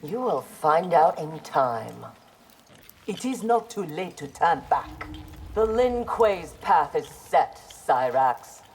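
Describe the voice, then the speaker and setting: A proud armoured ninja speaks low with a Nigerian accent. The lines are formal, measured and defiant, in video game style, over slight noise. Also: female voice, sing-song, heavily acted. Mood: composed, wry. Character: proud, principled armoured female ninja warrior. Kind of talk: video game fight dialogue.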